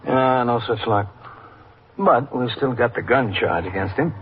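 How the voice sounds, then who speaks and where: A man's voice: mid-range voice, a little slow, lightly acted. Guy doing a dry american police captain, no-nonsense, 50s radio drama, muffled with some hiss. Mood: matter-of-fact. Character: dry, matter-of-fact male police captain. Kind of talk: 1950s radio drama acting. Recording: dull, noisy old radio recording.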